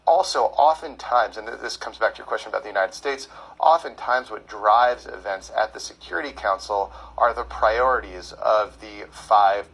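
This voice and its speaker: A man who hosts a podcast, guesting on a call-in show and answering callers in a measured, explanatory way with frequent fillers. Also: fairly low pitch, vocal fry, a bit bright, husky, low-quality recording.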